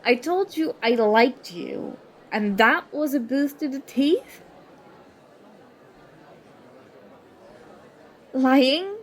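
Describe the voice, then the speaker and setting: Actress playing an indignant, slow-talking young college woman. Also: high-pitched, lively intonation, a little hiss or hum. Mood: scornful. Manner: confrontational, sarcastic, sweary. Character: indignant young woman student, sarcastic former school enemy. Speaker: ASMR roleplay performer. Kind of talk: ASMR roleplay acting, one side of a dialogue.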